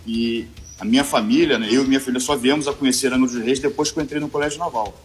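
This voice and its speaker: A man, a naval college commander answering interview questions formally, padding his pauses with a constant "né". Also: mid-range voice, steady tone. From Niterói, Brazil.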